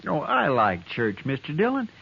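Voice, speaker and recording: An actor playing a naive, respectful American sidekick with a folksy manner in an old radio western. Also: male voice, a little high, huge pitch swings, normal speed, vocal fry, breathy edge, heavily acted. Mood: earnest, good-natured. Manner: folksy, earnest, deferential. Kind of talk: 1950s radio western acting. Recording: muffled, slightly noisy old radio recording.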